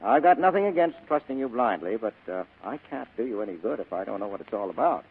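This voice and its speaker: A man's voice: medium pitch, lively intonation, fast, slightly rough. A man playing a wry, tough, cynical private detective in a 1940s radio drama, slangy and sardonic. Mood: cynical, probing. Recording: narrow, tinny old radio broadcast.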